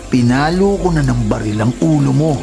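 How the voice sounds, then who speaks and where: A man acting a ruthless, gloating killer in a Filipino radio drama, taunting with drawn-out threats. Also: mid-range voice, lively intonation, creaky, music in the background. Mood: gloating, menacing. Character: gloating ruthless male illegal-logger killer.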